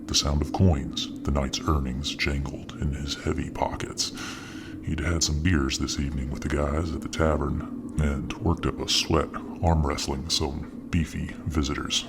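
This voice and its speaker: A man narrating a story in a slow, soft ASMR style, often close to a whisper, with a very deep voice. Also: flat delivery, gravelly, background noise.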